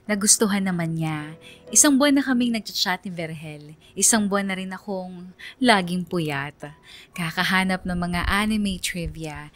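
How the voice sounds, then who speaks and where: A woman's voice: medium pitch, a bit bright, natural voice. A woman narrating in the first person as a reflective young woman in love, confiding as in a radio drama letter.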